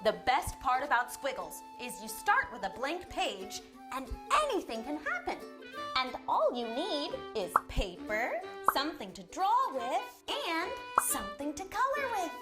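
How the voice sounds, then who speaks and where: Kids show host lady, slow and really animated, encouraging, music in the background. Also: high-pitched. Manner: encouraging, expressive, simple phrasing. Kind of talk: instructional kids' show presenting.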